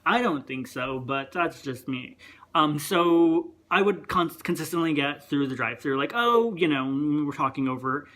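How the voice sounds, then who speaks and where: A young man with a higher voice that gets mistaken for a woman's, rambling through a casual rant and interrupting himself. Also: expressive, moderate pace, fairly bright tone. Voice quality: higher voice, sometimes heard as female. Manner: rambling, chatty, self-interrupting. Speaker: vlogger.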